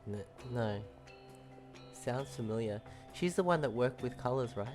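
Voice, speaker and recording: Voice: medium pitch, animated, a little slow, over music. Easygoing game streamer with a mid-range voice. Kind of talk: casual live reaction commentary on a game.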